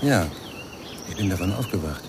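An actor playing a cynical yet gentle man with a gravelly voice and a blunt, probing manner in a German radio crime drama. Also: deep voice, expressive, moderate pace, background noise. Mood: dry, curious.

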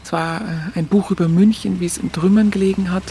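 An actress playing an earthy woman, recalling wartime Munich in halting, colloquial radio play speech. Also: on the low side, steady tone, dark tone, a little hiss or hum, lightly acted. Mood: wistful. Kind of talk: naturalistic radio play reminiscence.

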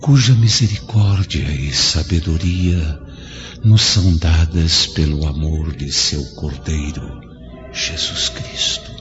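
An actor playing a wise spirit guide who gives slow, authoritative counsel. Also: male voice, a bass voice. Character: wise male spirit guide, calm and stern. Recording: dull lo-fi audio with strong music.

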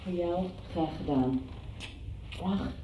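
A woman's voice: lowish voice, slow pace, muffled recording, a little hiss or hum. An actress playing a practical, friendly professional woman with a casual manner in a radio soap. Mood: tired, friendly. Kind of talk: 1990s Dutch radio soap acting.

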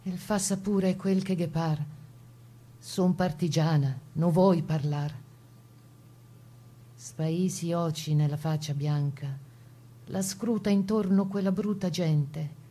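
A woman performing as the narrator of a partisan ballad, reciting dialect verse with a Veneto accent in a solemn, declamatory, husky voice. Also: fairly low pitch, slow, pauses often, warm tone. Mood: menacing, defiant. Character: poet-narrator of partisan ballad, voicing captor and defiant prisoner. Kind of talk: dramatic recitation of dialect poetry.

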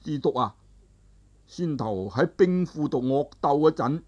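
A mild, earnest young monk, as a male storyteller voices him speaks politely and tries to placate. He talks slowly in a plain voice. A figure from a traditional told story. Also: on the high side, animated, darkish tone, muffled recording. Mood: placating.

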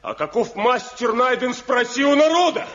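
A man's voice: very high voice, lively intonation, normal speed, fairly bright tone. An actor playing a stern, pious traditionalist village craftsman, declaiming solemnly in Soviet radio drama style. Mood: solemn, devout. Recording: dull lo-fi 1970 radio recording.

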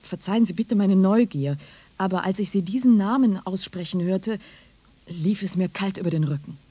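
An actress playing a polite, deferential and slightly hesitant young interviewer in a radio play. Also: female voice, medium pitch, normal speed, dark tone, dry recording, lightly acted. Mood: curious. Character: polite, hesitant young interviewer, secretly an alien robot envoy. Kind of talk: German radio drama acting.

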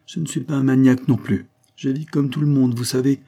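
A man's voice: medium pitch, fairly even intonation, a little fast, dark tone, voice pushed up, close to an everyday voice. A man voicing a fat, middle-aged chemist in an audiobook, blustering and evasive, with colloquial, forced joviality. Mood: jovial, reassuring. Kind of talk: audiobook character dialogue.

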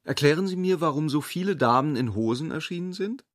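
A man playing a formal, teasing gentleman in a literary radio drama, speaking in a declamatory way. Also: fairly high pitch, expressive, a little fast, no room sound, studio quality, natural voice. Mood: teasing, challenging. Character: brisk, teasing gentleman cousin at a masquerade.

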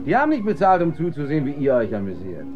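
A man's voice: fairly high pitch, huge pitch swings, fast. A man acting in a German radio drama as a brash, cynical dance marathon emcee, haranguing callously like a showman. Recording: band-limited, dull sound under music. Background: clear music.

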